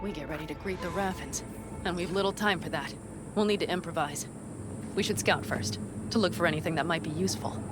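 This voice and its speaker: A woman's voice: on the low side, brisk, over music, close to an everyday voice. An actress playing a tough, blunt young nomad woman in a video game, plain-spoken and sardonic. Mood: focused, determined. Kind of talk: naturalistic video game voice acting.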